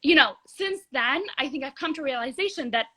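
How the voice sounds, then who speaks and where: Bright, high-voiced teenage girl. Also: expressive, fairly quick. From Egypt. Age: teens. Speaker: guest, youth activist. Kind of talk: live video interview. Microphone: video call.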